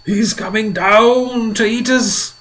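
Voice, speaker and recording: Guy doing a worried old grasshopper, high and wobbly. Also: lively intonation, slow pace. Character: old male grasshopper, fretful.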